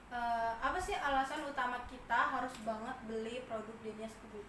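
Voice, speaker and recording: A woman's voice: on the high side, fairly quick, fairly bright tone. A young woman, hosting a casual promotional chat in an informal, chatty way. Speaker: podcast host interviewing a business owner. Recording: echoey room, distant mic, faint noise.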